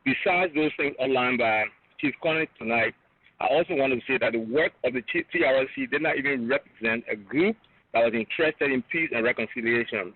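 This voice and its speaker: A man's voice: mid-range voice, dry recording. A man calling into a radio show, speaking earnestly and emphatically as he commends the guest. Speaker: caller. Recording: tinny phone line.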